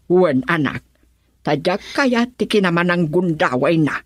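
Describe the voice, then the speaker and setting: Radio drama: an aggrieved mother, resolute and pleading, slightly low voice, close dry sound. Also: lively intonation, measured pace, slightly rough, dry recording. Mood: resolute, emotional.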